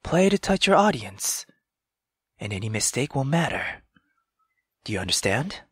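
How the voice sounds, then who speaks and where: Someone playing a husky, gentle nobleman. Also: mid-range voice, very animated, no room sound, clean studio sound, heavily acted. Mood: tender, encouraging. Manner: soft, reassuring, measured. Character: gentle French nobleman, fatherly and encouraging. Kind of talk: visual novel character read aloud.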